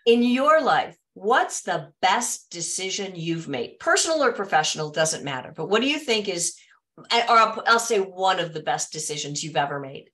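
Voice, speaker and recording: A woman's voice: on the low side, big pitch swings, moderate pace. A middle-aged woman hosting a podcast interview over a video call, speaking in an encouraging way. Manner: warm and encouraging. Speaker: podcast host. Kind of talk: casual interview talk. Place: home office with bookshelves.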